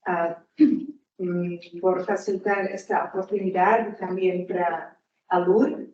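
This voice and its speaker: Slow, hesitant woman with a Slovak accent. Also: fairly low pitch, steady tone, a little mellow, a little hiss or hum. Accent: Slovak, with Portuguese traces. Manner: hesitant, searching for words in a non-native language. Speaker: guest speaker. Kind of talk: conference talk. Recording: echoey hall, distant mic, muffled.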